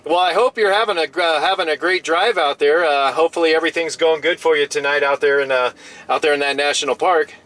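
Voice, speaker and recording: A man with a fairly high voice chats amiably from inside his parked truck as a mobile radio operator. He uses casual radio lingo and tends to double up his phrases. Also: quick pace, fairly bright tone. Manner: friendly, chatty, often repeats himself. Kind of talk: casual radio contact chat, using operator jargon.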